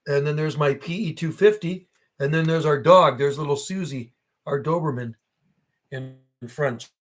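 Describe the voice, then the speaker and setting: A middle-aged man rambling casually on a livestream, talking in a slangy, reminiscing way. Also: medium pitch. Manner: slangy, reminiscing, often ends phrases with "man". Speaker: livestream host.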